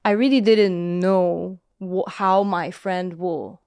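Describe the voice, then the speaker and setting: A woman guest telling her story in a casual interview, hesitant and soft-spoken, with many pauses and restarts. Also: fairly low pitch, fairly even intonation, clear, resonant tone, no room sound, studio quality. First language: English.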